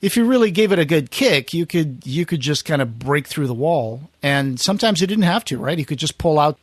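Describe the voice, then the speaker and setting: A man's voice: a little high, animated, fairly quick, no room sound. A man hosting a podcast, casually recounting film history in a conversational storytelling way and quoting people as he goes. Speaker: podcast host.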